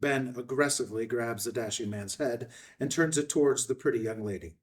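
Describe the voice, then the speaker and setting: Male narrator for screenplay action lines, measured and terse, medium pitch, recorded at some distance. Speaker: narrator reading stage directions. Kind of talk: scripted narration at a table read.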